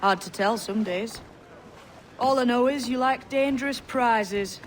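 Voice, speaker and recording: A shrewd young pirate in disguise, acted by a woman, speaks in a high, boyish register. The delivery is guarded yet earnest and colloquial, in the manner of a dramatic video game, with faint environmental noise behind it. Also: unhurried, close to an everyday voice. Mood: wry, challenging. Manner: plain-spoken, colloquial.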